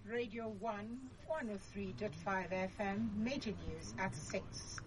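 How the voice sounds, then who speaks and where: A woman with a Nigerian accent reads a scripted radio news bulletin in a formal, measured manner. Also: mid-range voice, over music. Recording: clearly noisy radio broadcast.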